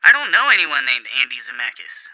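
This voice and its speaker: A man's voice: medium pitch, wildly swooping pitch, a little fast, bright, forceful tone, no room sound, pitched above the performer's own voice, full character voice, low-quality recording. A man voicing a wary, easily rattled doctor cornered over a debt, tense and defensive, in a dramatic audiobook reading. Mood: wary, angry.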